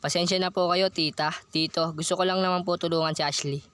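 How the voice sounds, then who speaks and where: A man's voice: high-pitched, steady tone, quick pace, a bit bright, smooth. A man playing an earnest, respectful teenage boyfriend in an amateur student radio drama. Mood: apologetic.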